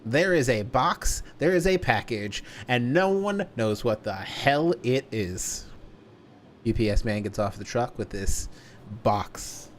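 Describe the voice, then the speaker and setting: A man telling a story casually to a livestream audience, deliberately stretching words for emphasis. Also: mid-range voice, expressive, slight background noise.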